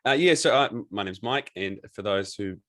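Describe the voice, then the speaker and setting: New zealand accent, man, frank relaxed talk, lowish voice, dry sound. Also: moderate pace, no echo. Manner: relaxed, frank, swears casually, many restarts. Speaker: men's coach, podcast guest. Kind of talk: casual interview chat.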